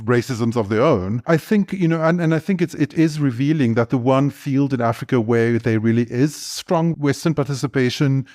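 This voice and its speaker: A man's voice: medium pitch, darkish tone. Man musing with lots of fillers. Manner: halting, full of fillers like "you know" and "kind of". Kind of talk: casual analytical discussion.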